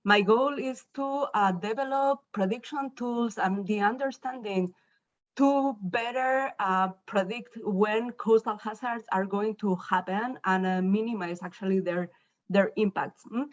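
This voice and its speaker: A woman's voice: mid-range voice, unhurried, no room sound. Spanish woman presenting, slightly muffled audio. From northern Spain, Basque Country. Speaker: university professor, invited keynote speaker. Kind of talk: academic presentation.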